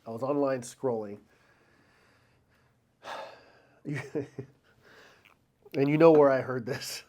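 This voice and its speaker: A man's voice: medium pitch, drawn-out words, mellow. Podcast cohost guy, chatty, laughs a lot, kinda loses his train of thought. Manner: chatty, laughs often, trails off mid-thought. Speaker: podcast co-host. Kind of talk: casual chat.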